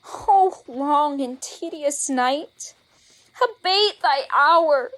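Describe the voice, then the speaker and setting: A woman as very slow, forlorn young lover. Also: sits high, a bit bright, full character voice, rough recording. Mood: weary, sorrowful. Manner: plaintive, drawn-out. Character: forlorn, weary young lovelorn woman lamenting. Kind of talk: Shakespearean verse audio drama.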